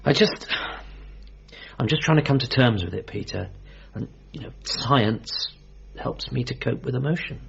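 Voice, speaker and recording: A man's voice: medium pitch, expressive, normal speed, vocal fry, fairly bright tone, breathy edge, lo-fi sound, heavily acted. An actor playing an anxious, awkward son in a radio comedy drama, stammering and flustered as he talks. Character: anxious, awkward bereaved son, excitable and sarcastic.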